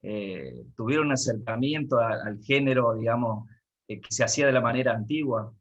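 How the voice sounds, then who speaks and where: A man with a medium-pitched voice conducts an interview. He asks in a conversational, rambling way, circling back with more questions. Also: expressive, moderate pace, a bit bright, highs cut off. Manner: rambling, inquisitive.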